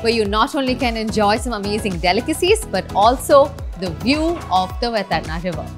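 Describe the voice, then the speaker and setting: A woman's voice: a little high, expressive, brisk. A woman in her thirties with an Indian accent, chatty and enthusiastic, hosting an upbeat promotional travel vlog. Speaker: travel show host. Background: background music.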